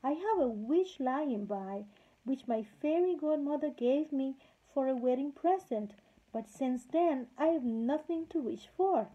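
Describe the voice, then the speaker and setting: A woman's voice: high voice, animated, a little slow. A woman voicing a firm, stubborn king who lectures the fairies, in a storybook reading. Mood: gentle. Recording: slightly muffled lo-fi recording.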